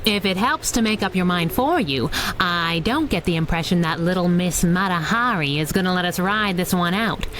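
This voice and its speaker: Sassy, animated crimefighting sidekick. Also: female voice, fairly low pitch, a little hiss or hum, poor audio. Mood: wry, teasing. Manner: wisecracking, brisk, irreverent. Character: sassy, plucky female masked crimefighting sidekick. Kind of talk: 1930s-style radio drama acting.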